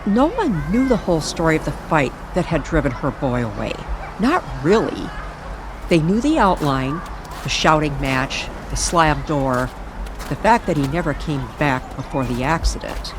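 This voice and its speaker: A woman narrating an eerie, nostalgic story as a storyteller, in a measured and dramatic manner. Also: low voice, very animated, noisy background. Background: music under the voice.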